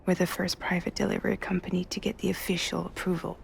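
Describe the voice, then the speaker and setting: A woman playing a resilient, warm-hearted delivery company boss in a video game drama, speaking earnestly and intimately. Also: fairly low pitch, level tone, quick pace, vocal fry, slight background noise. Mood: candid. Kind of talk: cinematic video game acting. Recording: music under the voice.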